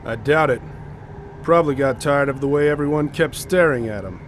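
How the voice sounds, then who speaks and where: A man's voice: mid-range voice, moderate pace, a little hiss or hum, lightly acted. An actor playing a blunt, sardonic expedition man in an audio drama, speaking drily. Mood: dry, wary.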